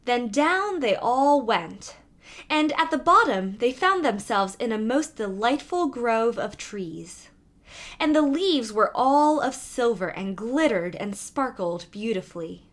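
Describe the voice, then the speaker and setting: A woman's voice: fairly high pitch, lively intonation, slow pace. A woman reading a fairy tale aloud as a storybook narrator.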